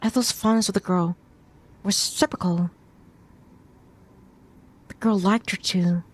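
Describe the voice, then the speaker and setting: A woman's voice: medium pitch, fairly even intonation, measured pace. A woman streamer reading a visual novel aloud as story narration, now and then stumbling over a word and rereading it. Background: music behind the voice.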